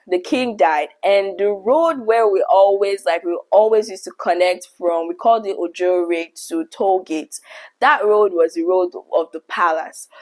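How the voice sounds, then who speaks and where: A young adult woman with a Nigerian accent, telling a childhood story in a chatty, animated way on her video channel, laughing often. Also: medium pitch. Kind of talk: casual story time vlog.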